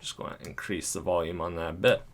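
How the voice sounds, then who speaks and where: A man with a deep voice, talking casually and thinking aloud as he walks through an editing tutorial, chuckling now and then. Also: steady tone, fast. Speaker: video creator.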